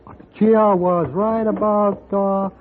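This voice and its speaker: An actor playing a deferential, good-humored hotel bellhop in a 1950s radio western, with halting delivery and broken, clipped grammar. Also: male voice, sits high, fairly even intonation, noisy background. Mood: eager, amused. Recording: narrow-band 1950s radio recording.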